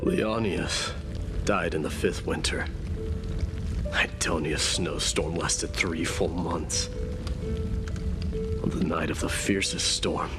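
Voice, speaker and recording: An actor playing a stoic immortal warrior king who solemnly recounts his fallen comrades in measured, formal speech for a video game. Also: sits low. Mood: solemn, grieving. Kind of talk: dramatic video game narration. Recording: loud music behind the voice. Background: game music.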